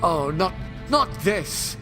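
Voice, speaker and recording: Indignant alien hero , male actor, high voice, grave and declamatory, fan audio play with prominent music. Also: very animated, slow pace, full character voice. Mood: indignant, alarmed. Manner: impassioned, declamatory. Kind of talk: audio drama acting.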